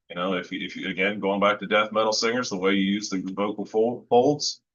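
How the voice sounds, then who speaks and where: A man with a low voice talking casually about recording vocals, thoughtful and rambling with lots of fillers and restarts. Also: pushed and bright, highs cut off. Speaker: musician discussing vocal recording. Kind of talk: casual podcast chat.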